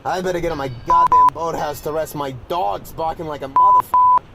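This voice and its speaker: A man playing a crude, folksy old sailor in a parody of a 1940s radio play, with a gravelly voice. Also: on the high side, huge pitch swings, a little fast, heavy background noise, heavily acted. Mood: grumbling, boastful. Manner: folksy, swearing, exclamatory delivery. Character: foul-mouthed salty old sailor, cheerfully crude.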